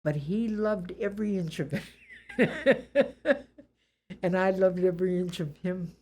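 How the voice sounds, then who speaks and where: An elderly woman with a Boston accent, reflecting on her life in an interview in a deliberate, plain-spoken, good-humored way. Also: on the low side, lively intonation, darkish tone. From Boston area, USA.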